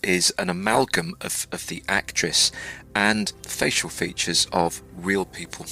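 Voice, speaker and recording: A man being interviewed, explaining a project in measured, explanatory answers. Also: low voice, animated, creaky, bright, forceful tone, poor audio.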